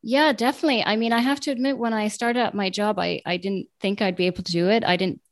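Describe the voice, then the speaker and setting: A woman's voice: medium pitch, fairly even intonation, quick pace. Chatty woman in her late twenties. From born in Italy, raised in Italy, the US and Ireland. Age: late 20s. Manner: chatty, with frequent ums and self-corrections. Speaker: guest, translator and radio journalist. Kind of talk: casual interview answers.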